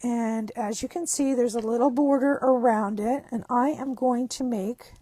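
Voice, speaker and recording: A hoarse woman with a croaky voice, chatting upbeat through a card-making tutorial step by step. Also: a little high, steady tone, normal speed, mellow, no room sound. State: hoarse, losing her voice. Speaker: craft demonstrator.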